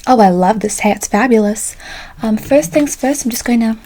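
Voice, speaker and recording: Soft-spoken woman in chatty ASMR barber roleplay. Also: a little high, normal speed, very noisy. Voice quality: soft-spoken, often dropping into whisper. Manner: folksy, chatty, calls listener pet names. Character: chatty, tired late-night female barber, sweet and sassy.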